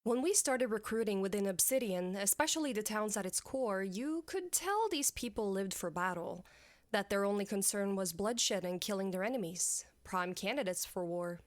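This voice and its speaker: A woman's voice: mid-range voice, expressive, moderate pace, no echo, clean studio sound, close to an everyday voice. A woman playing a dutiful military commander in a fantasy audio story, speaking formally and directly to her king. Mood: frank, respectful. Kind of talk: audio fiction acting.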